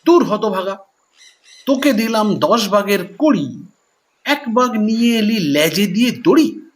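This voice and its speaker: A man's voice: sits high, expressive, slow. A man voicing a clever little goat kid who makes bold, boastful rhyming threats while posing as a fearsome monster. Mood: boastful, menacing.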